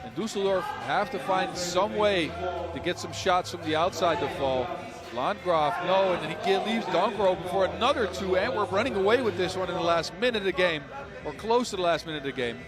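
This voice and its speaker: A man's voice: sits high, fairly quick, loud music behind the voice. A man giving conversational play-by-play commentary on a live basketball game, lifting his voice on big plays. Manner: conversational, excitable on big shots.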